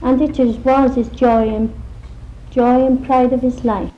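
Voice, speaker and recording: An older Welsh woman telling her life story in a plain, unhurried way on an old recording. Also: sits high, flat delivery. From Wales. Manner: plain storytelling with small laughs. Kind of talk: recorded personal reminiscence. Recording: dull old archive recording with faint hiss.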